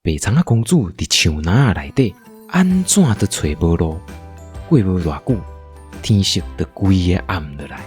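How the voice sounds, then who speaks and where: A man with a Taiwanese accent narrating a comic radio drama in a playful, expressive storytelling style. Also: low-pitched, moderate pace, soft background music.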